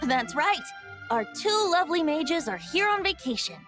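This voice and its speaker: Courteous young game heroine: composed, highish voice, lively intonation. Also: female voice, normal speed, music in the background. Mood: welcoming. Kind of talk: anime-style game voice acting.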